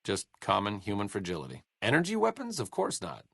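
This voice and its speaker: Casting: a wry, educated young game scientist, low voice, lively melody, dry studio sound. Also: male voice, a little fast, gravelly, slightly rough, dry recording, exaggerated voice. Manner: dry sardonic wit, educated phrasing. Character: wry, learned male scientist companion, dry wit. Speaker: game companion voice lines. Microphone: close studio mic.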